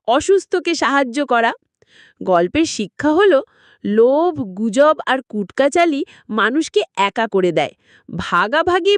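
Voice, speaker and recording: A woman reads a children's tale as narrator. Her voice is high and moves through wide, animated pitch swings. The sound is very dry, with no room around it. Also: dry recording. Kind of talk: scripted children's story narration.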